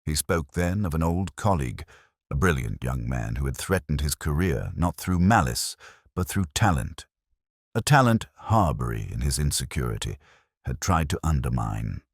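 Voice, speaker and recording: A man narrating a scripted first-person detective story in a measured, solemn, literary manner. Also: extremely low pitch, lively intonation, husky, no echo, clean studio sound.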